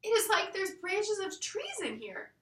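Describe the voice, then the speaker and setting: A woman's voice: extremely high pitch, wildly swooping pitch, measured pace, a bit bright, voice pushed up, heavily acted. A woman voicing a curious, wondering little girl in a storybook. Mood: surprised wonder. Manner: wondering, inquisitive. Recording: roomy echo, distant mic.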